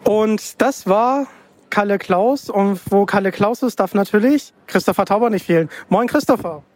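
High-voiced man hosting an interview. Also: normal speed, no echo. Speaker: podcast host. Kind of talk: casual interview. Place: book fair.

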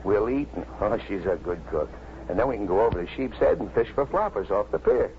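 An actor playing a kindly, plainspoken New York policeman and family man in a 1950s radio drama, talking in a folksy, halting way. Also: on the low side, expressive. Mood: fond, reflective. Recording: muffled, noisy 1950s radio recording.

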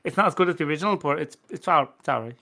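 Bright-voiced, excitable man bantering. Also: on the high side, racing. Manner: excitable, repeats himself. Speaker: podcast panelist. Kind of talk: casual bantering group chat.

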